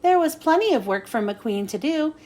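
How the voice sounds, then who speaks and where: A woman reading a children's storybook aloud as an expressive narrator. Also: sits high, moderate pace. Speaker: storybook reader.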